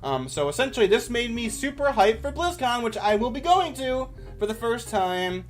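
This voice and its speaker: A man's voice: very high-pitched, big pitch swings, moderate pace, faint music behind. A man giving casual, off-the-cuff commentary as a YouTuber, with an enthusiastic, rambling manner.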